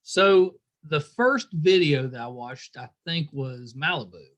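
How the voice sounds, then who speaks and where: A man with a fairly high voice works as a podcast host. He talks in a casual, conversational interview style, putting questions and remarks to his guests. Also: expressive, a little slow, muffled recording.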